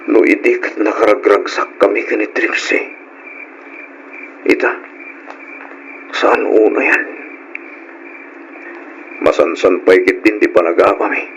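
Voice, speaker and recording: An actor playing a troubled, placating working husband in an Ilocano radio drama, on a noisy old recording. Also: male voice, lowish voice, creaky, lo-fi sound. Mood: brooding.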